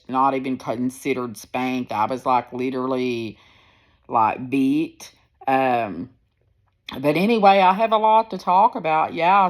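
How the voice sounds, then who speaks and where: A woman in her sixties with a deep, firm voice, talking candidly and rambling a little as she speaks to her viewers into a phone. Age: 60s. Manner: candid, rambling, plain-spoken. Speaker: personal vlogger. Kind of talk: casual personal storytelling to viewers.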